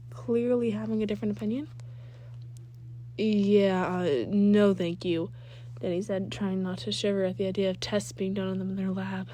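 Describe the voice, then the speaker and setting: Mellow-voiced woman reading a story aloud. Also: mid-range voice, a little hiss or hum. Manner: stumbles and rereads phrases. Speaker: amateur fan fiction reader.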